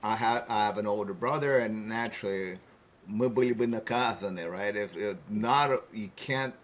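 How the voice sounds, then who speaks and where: A man with a fairly low voice co-hosts a casual podcast. He adds comments in an easy, chatty way and often checks in with the others. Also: moderate pace. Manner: chatty, keeps asking "right?". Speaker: co-host.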